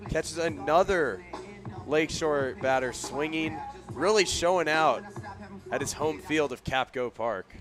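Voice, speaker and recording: A man's voice: a little high, measured pace, over music. A man giving analytical color commentary during a live baseball radio broadcast at a ballpark.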